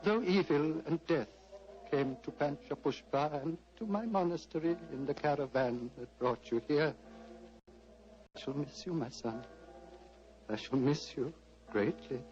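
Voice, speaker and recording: An actor playing an old, fatherly Tibetan monastery lama in a radio drama, speaking formally and with gentle wistfulness. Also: fairly high pitch, unhurried, pauses often, lightly acted. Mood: wistful, tender. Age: older. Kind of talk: 1940s radio drama acting. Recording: muffled vintage radio recording.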